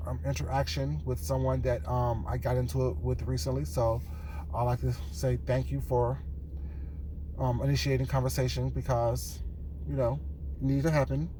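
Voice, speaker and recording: A man recording a casual vlog on his way to work, with a groggy morning voice, rambling in a relaxed way and often asking "you know what I'm saying". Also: medium pitch. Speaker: vlogger. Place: car on the way to work. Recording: car and road noise behind.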